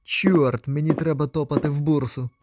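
A man's voice: fairly high pitch, fairly even intonation, moderate pace, noisy background, close to an everyday voice. A man voicing a wry, irritable student who is a reluctant comic hero, with a dry, grumbling manner. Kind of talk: comic dub acting.

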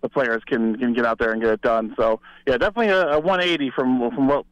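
A man's voice: mid-range voice, quick pace, a bit bright, no room sound. Man, guest sports analyst, mid-range voice, conversational, slightly muffled call audio. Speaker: sports reporter, show guest.

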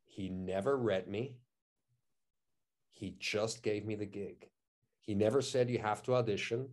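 Enthusiastic actor on a video call. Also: male voice, fairly low pitch, measured pace, darkish tone. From Switzerland. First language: German. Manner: enthusiastic, repeats words, many "you know" and "I mean". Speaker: actor, interview guest. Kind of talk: casual interview chat. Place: living room at home, indoors. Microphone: video call, webcam.

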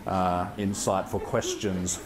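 A middle-aged Australian man moderating a conference panel, introducing a guest in a relaxed, self-deprecating way. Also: low-pitched, slow, noisy background. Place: conference stage before a large audience, indoors.

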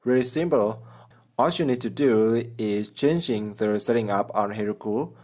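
A man's voice: on the low side, normal speed, a little mellow. Man narrating a coding tutorial plainly. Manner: plain step-by-step explaining, simple non-native phrasing. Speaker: programming tutorial channel host. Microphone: voice-over over screen recording.

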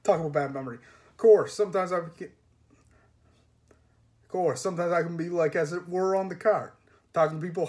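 A man's voice: sits high, voice pushed up, lightly acted. A man voicing a timid, babbling cart driver with nervous, wheezy, uneducated speech, in a storybook reading.

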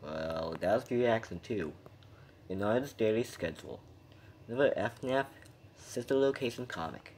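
Low-voiced young man reacting to videos. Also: animated, moderate pace, background noise. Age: young adult. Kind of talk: casual reaction commentary. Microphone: phone at arm's length.

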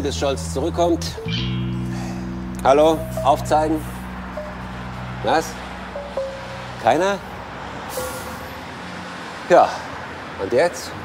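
An actor playing a hesitant, polite police detective in a naturalistic radio drama. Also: male voice, a little high, distant mic. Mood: exasperated.

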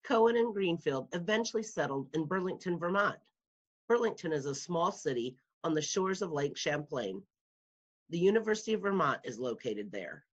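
A woman's voice: lowish voice, expressive, normal speed, breathy edge. A woman reading a children's nonfiction book aloud in a careful manner, as a school media specialist would. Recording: dull, muffled lo-fi home recording.

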